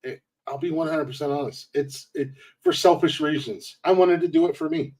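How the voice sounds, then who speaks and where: A man's voice: fairly high pitch, brisk, a little mellow. A man in his forties hosting a casual podcast, rambling and restarting sentences, laughing at his own jokes. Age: 40s. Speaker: podcast host.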